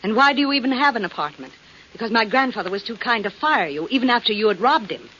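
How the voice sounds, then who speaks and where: A woman's voice: a little high, animated, fast, pushed and bright, highs cut off. Intense indignant young woman, 1940s radio drama, swoopy voice, hissy old recording. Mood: accusing. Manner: accusing, earnest, intense.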